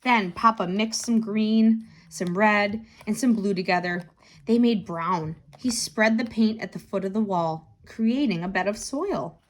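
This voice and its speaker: A woman narrating a picture book for children, expressive and deliberate, lingering on key words. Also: mid-range voice. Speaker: tutor reading a children's book aloud.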